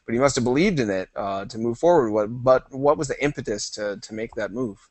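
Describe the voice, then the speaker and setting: A man's voice: medium pitch, fairly quick, darkish tone, no room sound. Curious man hosting, dry close sound. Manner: upbeat, curious questioning. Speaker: interview host. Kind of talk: casual interview.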